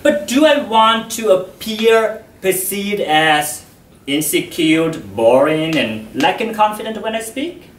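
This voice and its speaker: Guy giving a talk, slow n deliberate, pitch swoops around a lot, sounds far off in a big echoey hall. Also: big pitch swings, slight background noise. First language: Vietnamese. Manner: slow and deliberate, with frequent pauses. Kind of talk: public talk to an audience.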